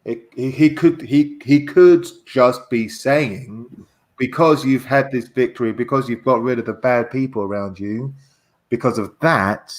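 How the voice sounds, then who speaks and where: A man casually discussing politics in a halting way, repeating words as he searches for phrasing. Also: medium pitch. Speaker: guest discussing a political transcript.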